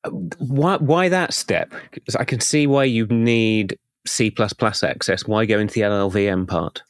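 A man's voice: on the low side, moderate pace, no room sound, clean studio sound. A man hosting a podcast, asking curious follow-up questions in a casual interview. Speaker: podcast host.

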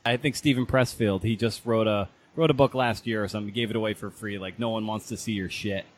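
A man's voice: on the low side, fairly even intonation, fast talker, slight background noise. A man hosting a casual podcast interview, feeling his way into questions and rephrasing them as he goes.

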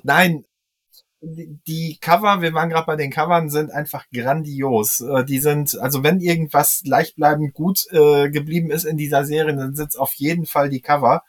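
Guy with slightly higher voice, halting, casual podcast review chat. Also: fairly even intonation. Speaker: podcast panelist, genre expert.

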